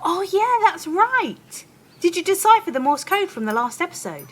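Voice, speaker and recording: A woman's voice: very high voice, very animated, moderate pace, a bit bright, full character voice. A woman playing an eager, bossy helper in a children's audio play, giving listeners chirpy step-by-step instructions. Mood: eager, welcoming. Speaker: co-presenter of a participatory audio play.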